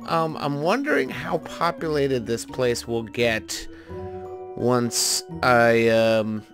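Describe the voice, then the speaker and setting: A man hosting a gaming video, giving casual commentary and thinking aloud in an informal way as he plays. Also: medium pitch. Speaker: host of a gaming video series.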